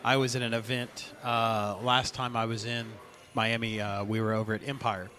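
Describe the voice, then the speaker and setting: A man hosting a podcast, chatting in a relaxed, easygoing way about his own experiences. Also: medium pitch, normal speed. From North Carolina. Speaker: podcast host. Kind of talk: casual conversation.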